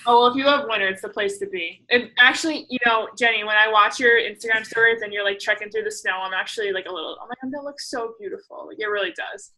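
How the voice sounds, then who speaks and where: Bright chatty woman hosting. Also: medium pitch, fairly even intonation, poor audio. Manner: chatty, self-deprecating, quick asides. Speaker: host. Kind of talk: casual group video chat.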